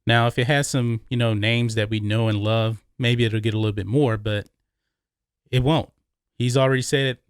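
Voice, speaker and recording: American guy doing movie opinion commentary, mid pitched voice, emphatic, repeats stuff for stress, really dry recording. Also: no echo. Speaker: YouTube movie commentary host.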